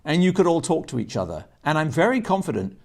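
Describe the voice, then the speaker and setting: A man's voice: fairly high pitch, very animated, fast talker, gravelly, darkish tone. Older man chatting through a product review. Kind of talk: casual unboxing and review talk to camera. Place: indoors, garage-like room.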